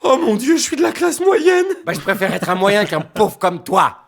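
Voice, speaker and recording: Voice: mid-range voice, sing-song, normal speed, creaky. An actor voicing a crude, bossy teddy bear who lectures children with mock-preachy sarcasm in a satirical cartoon. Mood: sneering, indignant.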